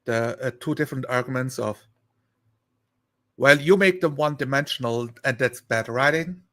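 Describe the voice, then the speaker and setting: A man chatting casually on a live stream, rambling and thinking aloud in a halting way. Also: medium pitch, fairly bright tone.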